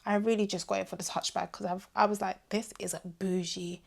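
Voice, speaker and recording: Young woman, casual vlogger, chatty and animated, slightly hoarse voice. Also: mid-range voice, a little fast. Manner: chatty and animated, drifting into asides. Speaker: vlogger reviewing her tech purchases. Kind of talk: casual chat to camera.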